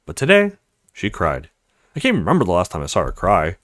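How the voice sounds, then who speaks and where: A man's voice: sits low, wildly swooping pitch, brisk, fairly bright tone, no echo, studio quality, pitched below the performer's own voice, exaggerated voice. A man voicing a loyal, protective young male best friend in a visual novel, speaking earnestly and bluntly to reassure. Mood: reflective. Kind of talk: visual novel character read-aloud.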